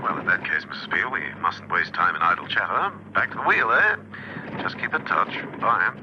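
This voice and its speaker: An actor playing an unruffled, wry gentleman agent in a classic spy radio drama, suave and dryly understated. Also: low-pitched, expressive, normal speed. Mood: breezy, assured. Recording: dull, noisy radio recording.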